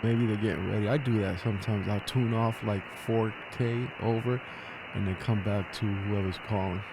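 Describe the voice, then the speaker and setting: A man's voice: lowish voice, fairly even intonation, normal speed, noisy background. A man who is an amateur radio operator, chatting casually and making radio calls. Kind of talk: casual chat and radio calling with phonetic alphabet.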